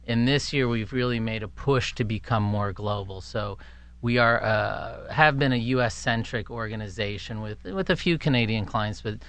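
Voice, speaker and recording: A man with a fairly low, smooth voice answers as an interview guest. His delivery is conversational and halting, like an executive explaining things in a measured way. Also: a bit bright.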